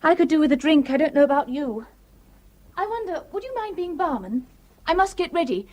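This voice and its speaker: An actress playing a breezy, candid young British flatmate in a radio drama, brisk and a little defensive. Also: female voice, sits high.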